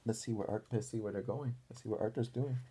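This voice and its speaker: A man reading a picture book aloud to young children as a teacher, deliberately pausing to ask his listeners questions. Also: lowish voice, steady tone, warm tone, slight background noise.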